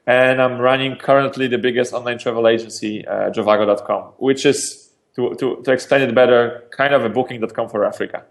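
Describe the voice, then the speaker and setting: A man from Poland, a startup founder answering interview questions in a lively, energetic way, often restarting and repeating words. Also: mid-range voice, a little fast. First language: Polish.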